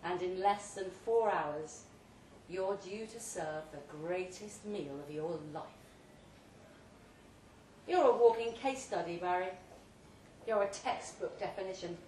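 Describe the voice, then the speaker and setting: A woman giving an emphatic, deliberately phrased dramatic reading of a second-person short story at a live event. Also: lowish voice, mellow. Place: indoor pub event, before an audience. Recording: roomy live pub recording, distant mic, faint noise.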